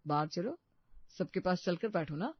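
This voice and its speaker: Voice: mid-range voice, lively intonation, moderate pace, no room sound, dull audio, lightly acted. A performer voicing a kind, reassuring young husband in a family story. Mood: caring.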